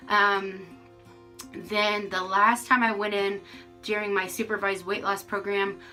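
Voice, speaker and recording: A woman's voice: mid-range voice, level tone, a little slow, sharp tone, soft background music, poor audio. A woman in her forties giving a casual, frank vlog update to camera from her rural home, with lots of ums and restarts. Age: 40s. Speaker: vlogger.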